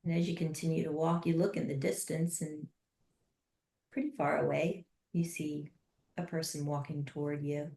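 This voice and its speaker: Woman, low voice, flat and even, slow soft guided meditation, roomy echo. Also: gravelly. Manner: slow, soft and unhurried with long pauses.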